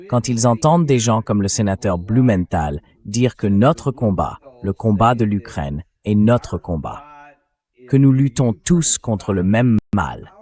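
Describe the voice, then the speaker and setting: A man's voice: lowish voice, warm tone. A man hosting a political discussion, in a translated voice-over read by a synthetic voice. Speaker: host.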